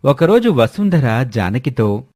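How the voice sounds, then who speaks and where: A male narrator reads a scripted village tale. His pitch is mid-range and the sound is dry, with no room around it. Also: sing-song, takes it slowly, darkish tone, no room sound. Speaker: storyteller narrator. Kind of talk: scripted moral-story narration.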